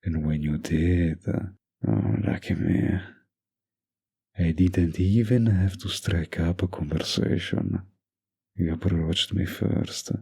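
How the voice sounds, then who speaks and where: An actor playing a doting, dominant young mafia heir in an intimate boyfriend roleplay, with a gravelly, husky voice and a murmured, teasing, possessive manner. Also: male voice, low-pitched, steady tone, takes it slowly, darkish tone, full character voice. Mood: fond, reminiscing.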